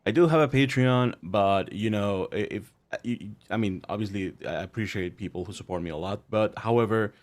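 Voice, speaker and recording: A man's voice: lowish voice, fairly quick, few pauses. A man whose first language is Spanish, a guest writer talking casually and hesitantly with many restarts. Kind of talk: casual interview talk.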